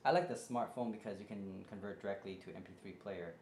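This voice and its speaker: A man's voice: lowish voice, quick pace, darkish tone. Rambling man in an echoey home office. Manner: rambling, many fillers and restarts. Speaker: tutorial host. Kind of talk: casual how-to demonstration. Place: home office desk, indoors. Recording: echoey room, faint background hiss.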